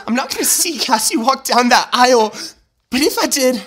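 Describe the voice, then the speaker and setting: A man's voice: high-pitched, a bit bright. Earnest, tender young man, played by an actor. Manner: earnest, halting, emotional. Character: self-sacrificing young groom-to-be, tender, resolute male friend. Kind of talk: dramatic audio drama acting.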